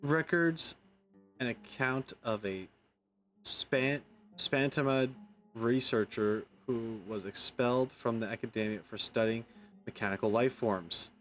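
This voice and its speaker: A man's voice: mid-range voice, measured pace, a bit bright, soft background music. A man doing casual let's play commentary, thinking aloud informally and reading game text out as he goes. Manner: informal, rambling, thinks aloud, mild swearing. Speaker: video game streamer.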